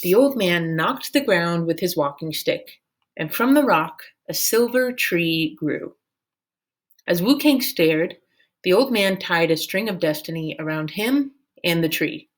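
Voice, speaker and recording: Expressive woman reading a story aloud. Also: fairly low pitch, a little slow. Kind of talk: expressive read-aloud narration.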